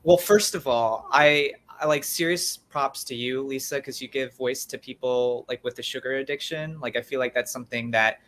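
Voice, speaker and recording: A man's voice: medium pitch, normal speed, few pauses, fairly bright tone. A man asking questions on a podcast in a casual, supportive, informal way. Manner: informal, encouraging.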